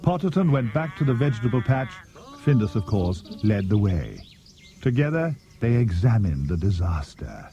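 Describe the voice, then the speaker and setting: A man's voice: lowish voice, very animated, moderate pace. A man narrating a scripted children's story expressively as an audiobook reader. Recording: dull cassette tape transfer. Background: music.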